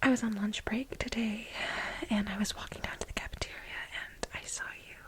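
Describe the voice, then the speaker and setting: A woman playing a flustered, lovestruck office assistant in a soft-spoken ASMR role play, breathy and halting, with a dramatic, confiding manner. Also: mid-range voice, steady tone, natural voice. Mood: burnt out, confiding. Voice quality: breathy, whispery. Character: flustered, lovestruck female office assistant confessing to married boss.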